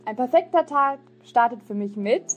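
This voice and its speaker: A woman's voice: fairly high pitch, expressive, moderate pace, phone-quality sound. A woman hosting a podcast interview, upbeat and laughing often, in a casual chat. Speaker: podcast host. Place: adult education center.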